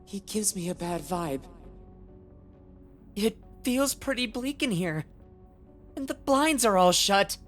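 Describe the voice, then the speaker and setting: A woman's voice: mid-range voice, takes it slowly, long pauses, soft background music. A woman playing an earnest, easily flustered young rookie detective in an anime dub, blurting out thoughts. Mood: uneasy, musing. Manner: excitable, thinks out loud, blurts things.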